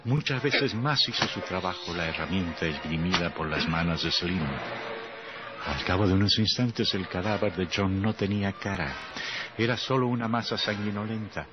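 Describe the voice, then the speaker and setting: A man's voice: low-pitched, moderate pace, natural voice. An actor playing a grim, sinister male horror storyteller, narrating a radio drama with macabre relish. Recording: muffled old radio recording with music.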